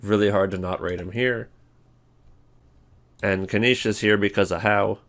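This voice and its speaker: A man's voice: on the low side, fairly even intonation, moderate pace, fairly bright tone. A man giving casual, rambling commentary on a ranking list as a video host. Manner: rambling, informal.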